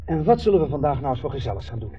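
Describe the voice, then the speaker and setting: Wry, placating husband, slightly distant. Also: male voice, a little high, sing-song, racing, faint music behind. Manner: soothing, teasing, hesitant. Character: placating, wry husband, timid younger brother. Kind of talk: 1970s radio drama acting. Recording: dull, distant old radio recording.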